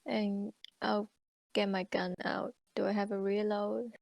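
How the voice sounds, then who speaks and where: A woman's voice: mid-range voice, little pitch movement, measured pace, gravelly, a little mellow, dry recording. A woman playing a tabletop roleplaying game, talking in casual chat.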